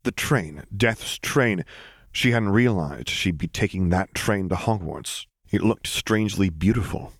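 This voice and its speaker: Deep-voiced male audiobook narrator. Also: a little slow, gravelly, husky, no echo, clean studio sound. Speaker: audiobook reader.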